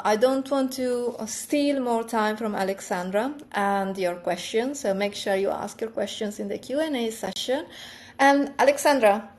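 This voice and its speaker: A woman's voice: medium pitch, a little mellow. A woman hosting a conference session, introducing the next speaker in a friendly, filler-heavy way. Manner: unhurried, friendly, with many fillers.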